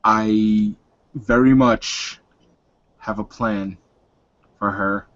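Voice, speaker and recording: Man playing a low, calm werewolf leader. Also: extremely slow, no room sound, muffled recording. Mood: firm, calm. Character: serene, scheming male werewolf leader.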